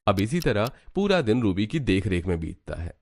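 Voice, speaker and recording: A man's voice: on the low side, sing-song, moderate pace, darkish tone, slight background noise. A man narrating a scripted cartoon story as its storyteller.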